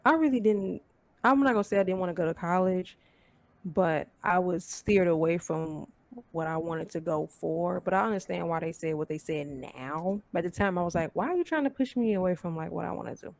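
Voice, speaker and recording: A woman's voice: lowish voice, animated, brisk, gravelly, no echo. A woman guest chatting casually, speaking in a candid, informal way. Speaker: show guest. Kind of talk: casual chat.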